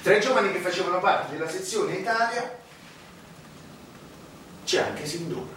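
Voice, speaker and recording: A man acting a sardonic theatrical storyteller, declaiming a stage monologue with dramatic pauses. His voice sits on the high side. It rings through a very echoey space, far from the mic, with clear noise behind it. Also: a bit bright. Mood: declamatory. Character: sardonic male storyteller recounting a dark financial scandal. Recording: echoey hall, distant mic, noisy.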